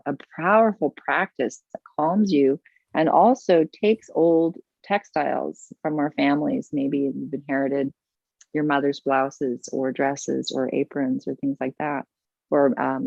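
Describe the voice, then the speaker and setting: A woman's voice: very deep voice, vocal fry, a little mellow, no echo, dull audio. A woman teaching art over a video call, effusive and full of praise, often restarting her sentences. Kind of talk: warm, gushing teaching chat.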